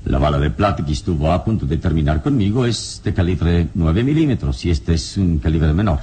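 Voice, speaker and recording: An actor playing a serene, proud heroic adventurer in a radio drama, measured, dignified and theatrical. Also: male voice, deep voice, expressive, dark tone, breathy edge, exaggerated voice. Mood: calm, reassuring.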